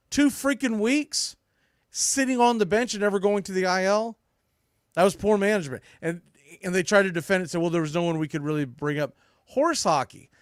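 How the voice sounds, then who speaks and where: A man with a slightly high, very clear and smooth voice, recorded close and dry. He delivers opinionated baseball commentary as a podcast host, leaning hard on key words and stretching them out for emphasis. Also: dry recording.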